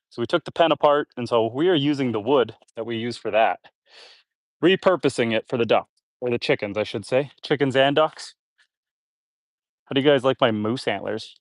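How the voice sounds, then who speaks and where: Homesteader man, casual live-stream chatter, a bit congested, mid-pitched, dry close sound. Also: no room sound. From Canada. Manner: relaxed, chatty, reads viewer comments aloud. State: a little congested. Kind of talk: casual live-stream chat while building. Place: outdoors on a homestead.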